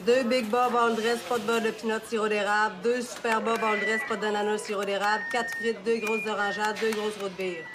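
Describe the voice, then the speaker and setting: An actor voicing a garbled drive-thru intercom, reading orders back in a flat, repetitive way through a crackly speaker. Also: mid-range voice, moderate pace, few pauses, music in the background. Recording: noisy intercom sound.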